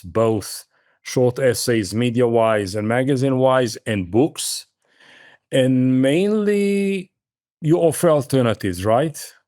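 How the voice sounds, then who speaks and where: A man's voice: medium pitch, a little mellow, no room sound, studio quality. A middle-aged man hosting an interview, asking loose, wandering questions with friendly asides. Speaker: interview host.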